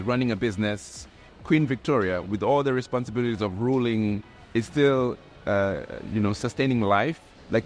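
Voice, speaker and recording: Low-voiced young man from Tanzania. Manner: relaxed, reflective, with frequent restarts and "like". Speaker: graphic artist. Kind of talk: casual interview talk. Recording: faint music underneath.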